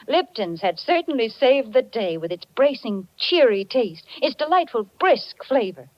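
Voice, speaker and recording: An American woman pitches like a cheery 1940s radio announcer. Her voice is high and very animated, in a dry but muffled old recording. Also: unhurried, no echo. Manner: cheery, gushing. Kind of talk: scripted 1940s radio advertising.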